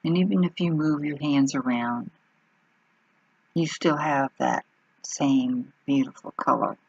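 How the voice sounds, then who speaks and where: Slow, gravelly woman leading guided meditation. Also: very deep voice, a little mellow, no echo, lo-fi sound. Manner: unhurried, pausing between short phrases.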